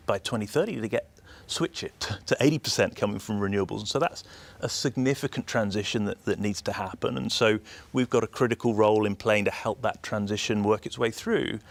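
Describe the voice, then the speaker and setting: A man with a British accent giving measured, explanatory answers as an executive being interviewed. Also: lowish voice, dry recording. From United Kingdom. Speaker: energy system operator executive, interview guest.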